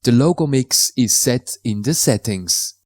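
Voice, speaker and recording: A man from Switzerland, a native Swiss German speaker with a Swiss German accent, calmly explaining how to install and use software in a tutorial voice-over, with slightly non-native phrasing. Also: mid-range voice, unhurried, no echo, clean studio sound. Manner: careful, plain instructional delivery in slightly non-native English.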